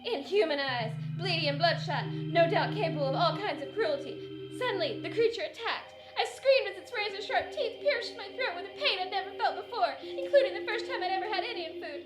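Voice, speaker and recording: Female, melodramatic 1940s heroine acted, high voice, roomy sound, loud music under. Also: animated, a little fast. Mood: breathlessly dramatic. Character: melodramatic frightened 1940s heroine narrating her nightmare. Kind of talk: old-time radio drama parody.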